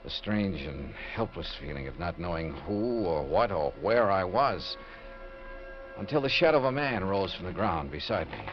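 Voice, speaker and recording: An actor with an American accent playing a tough, wry investigator who narrates his case in dramatic, deliberate 1950s radio style. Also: deep voice. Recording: muffled, noisy 1950s radio recording.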